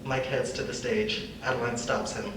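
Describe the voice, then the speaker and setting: A man's voice: medium pitch, level tone, normal speed, distant mic. Young man narrating in an echoey, noisy hall. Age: young adult. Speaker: narrator reading stage directions. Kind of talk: scripted narration.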